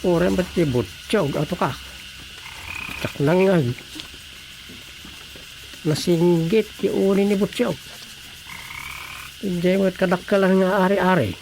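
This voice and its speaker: An actress playing a bumbling, bewildered frog catcher, colloquial and exclamatory, in a Filipino comedy radio drama. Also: female voice, on the low side, slow pace, pauses often, a little mellow, a little hiss or hum, low-quality recording. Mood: musing.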